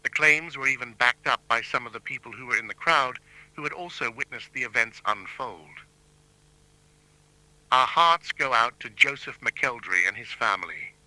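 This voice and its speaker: Solemn male continuity announcer, played by an amateur actor, formal and measured, very bright, forceful tone. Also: mid-range voice, dry recording, low-quality recording. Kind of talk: scripted broadcast announcement.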